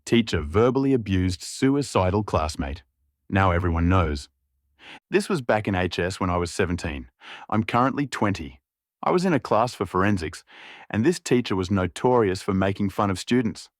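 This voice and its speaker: Low-voiced male story narrator. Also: animated, normal speed, dry recording, studio quality. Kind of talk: scripted narration of an online story.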